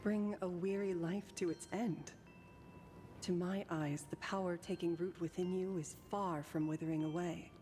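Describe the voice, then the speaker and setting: Woman acting serene, low-voiced ferrywoman in long reverb. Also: steady tone, moderate pace, dark tone, slight background noise, lightly acted. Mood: solemn, measured. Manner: formal, poised, archaic phrasing. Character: serene, mysterious ferrywoman guiding travelers to the afterlife. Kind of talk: video game voice acting. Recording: long reverb, game music behind. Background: game music.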